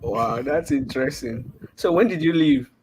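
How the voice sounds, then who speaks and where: A man with a Nigerian accent hosting a casual online interview, relaxed and chatty, with many short acknowledgements. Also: mid-range voice, normal speed, soft background music. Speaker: livestream host.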